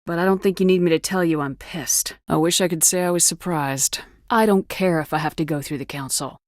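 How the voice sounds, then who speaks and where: A tough female military commander from a video game, acted by a woman. Her voice sits on the low side and is recorded close and dry. She speaks with firm authority and a guarded, wry edge. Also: brisk, dry recording, natural voice. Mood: grim, determined. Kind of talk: video game dialogue acting.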